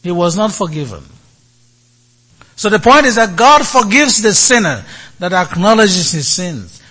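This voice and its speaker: Man, priest, sermon, very slow delivery, short emphatic phrases. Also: high-pitched, very animated, sharp tone, husky. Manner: deliberate preaching in short halting phrases.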